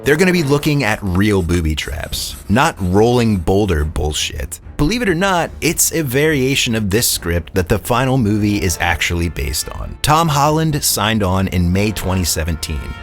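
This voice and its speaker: A man narrating a scripted video essay. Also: on the low side.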